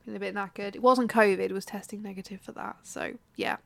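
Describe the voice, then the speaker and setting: A woman co-hosting a podcast in casual chat, a bit bunged up from a cold, chatty and quick to laugh. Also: mid-range voice, fairly even intonation, vocal fry, no room sound. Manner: chatty, laughs often, drawn-out fillers. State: congested from a cold. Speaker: podcast co-host.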